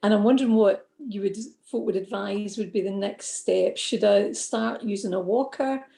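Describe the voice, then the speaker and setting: A woman asks the panel an earnest question over a video call, stumbling a little as she goes. Her voice is gravelly and mellow, and an echoey room surrounds her. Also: mid-range voice, moderate pace. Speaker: patient asking a question.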